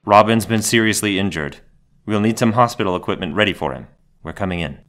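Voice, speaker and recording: A man's voice: lowish voice, a little fast, a bit bright, lightly acted. A man voicing a grim, terse masked vigilante hero in an audio drama, clipped and stern.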